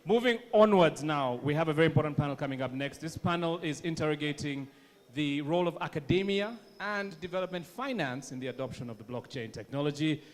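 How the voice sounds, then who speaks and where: A man's voice: on the high side, expressive, brisk. A man hosting an event as master of ceremonies and introducing speakers. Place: large conference hall. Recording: echoing hall PA with clear noise.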